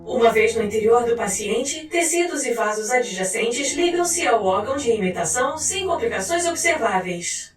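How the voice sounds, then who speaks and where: A woman's voice: fairly high pitch, lively intonation, normal speed, hardly any pauses. A woman with a Brazilian accent reading a scripted scientific file in a formal, clinical manner. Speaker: narrator. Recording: roomy echo, distant mic, faint music. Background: music.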